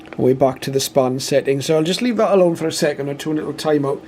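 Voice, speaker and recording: A man's voice: a little high, fast, darkish tone, slight background noise. A British man giving an informal, matter-of-fact product demonstration, explaining methodically step by step. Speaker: radio reviewer and demonstrator.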